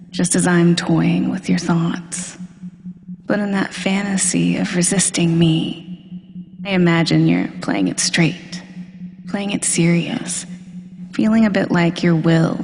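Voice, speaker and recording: A woman performing an intimate, commanding hypnosis roleplay monologue, teasing and deliberate, often dipping toward a breathy near-whisper. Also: lowish voice, darkish tone. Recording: long reverberant hall-like echo.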